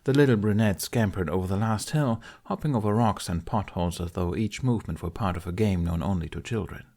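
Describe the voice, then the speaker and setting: Low-pitched man narrating a story. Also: fairly quick, a little mellow. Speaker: audiobook narrator.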